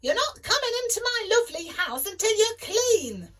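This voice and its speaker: Woman doing a high scolding mum. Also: sing-song, normal speed, a bit bright, far from the mic, full character voice. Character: exasperated mother of a smelly boy.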